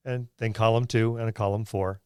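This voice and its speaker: A man's voice: on the low side, fairly even intonation, a little fast, clear, resonant tone, dry recording, studio quality. A man hosting a casual tabletop role-playing podcast, talking in a rambling way and explaining things through examples. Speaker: podcast host and experienced game master.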